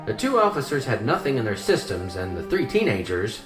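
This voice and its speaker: An actor playing a seasoned small-town mortician in a radio drama, theatrical and morbidly chatty with hesitant asides. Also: male voice, medium pitch, wildly swooping pitch, fairly quick. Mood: explaining, animated. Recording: roomy echo with clear music behind. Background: music.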